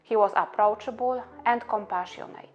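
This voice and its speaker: A woman from Poland with a Polish accent, an immigration attorney speaking in an earnest, measured, scripted way about her own experience. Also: medium pitch, highs cut off. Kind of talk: scripted promotional monologue.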